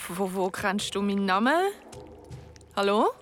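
A woman playing a frightened young female ghost in a radio play, breathless and halting, her bravado cracking. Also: medium pitch, fairly even intonation, fairly bright tone, slight background noise, lightly acted. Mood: uneasy. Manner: breathless, halting, repeating herself.

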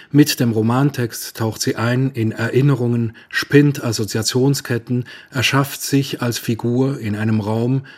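A man with a husky, breathy voice reading a scripted arts report. He speaks in a measured, literary way, at a middle pitch. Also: darkish tone. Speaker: culture radio reporter. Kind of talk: scripted narration.

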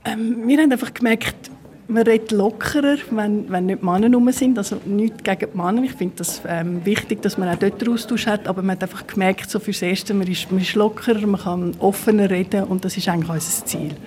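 A woman's voice: mid-range voice, brisk, dark tone, a little hiss or hum. Swiss German woman explaining informally in interview. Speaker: interviewee, community group organizer. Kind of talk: spontaneous interview talk.

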